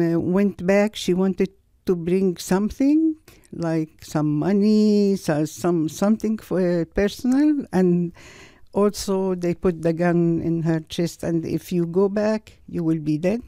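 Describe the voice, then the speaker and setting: An older woman from Palestine telling her personal story in a halting way, with many pauses and restarts, in a studio. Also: on the low side, dark tone, clean tone, no room sound. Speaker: guest sharing her story. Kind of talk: personal testimony.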